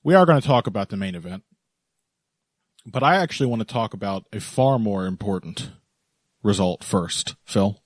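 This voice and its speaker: A man's voice: on the low side, animated, normal speed, long pauses, no echo. A male podcast co-host chatting casually and analytically about fights, teasing his co-host and laughing easily.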